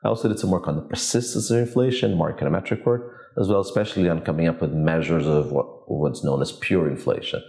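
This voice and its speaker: A man in his forties from Portugal, an economics professor giving measured, academic interview answers in an office. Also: low voice, vocal fry, dark tone, roomy sound. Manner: measured, academic, thinks aloud with restarts. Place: office with glass walls, indoors.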